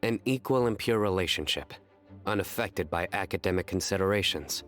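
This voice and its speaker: A stern young man speaks tersely and deadpan in a low voice. Faint game music plays behind him. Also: a little fast. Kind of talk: video game voice acting.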